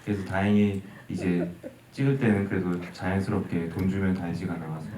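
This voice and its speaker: A man with a Busan accent speaks in a fairly low voice at a live Q&A, chatty and poking fun at himself. He is picked up from a distance in a big echoing hall, with clear noise around him. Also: steady tone, brisk. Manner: chatty and self-joking, laughs often. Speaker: film director at an audience talk. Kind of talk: casual talk at a live Q&A. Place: event hall.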